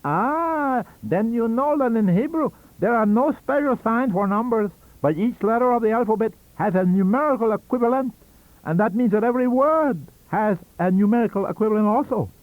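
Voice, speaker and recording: A man voicing a cunning, wheezy old foreign scientist-magician in an audiobook, with a theatrical, halting and emphatic delivery. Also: very high-pitched, lively intonation, no echo, pitched above the performer's own voice. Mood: mystical, instructing. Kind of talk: audiobook character reading. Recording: dull lo-fi audio cassette.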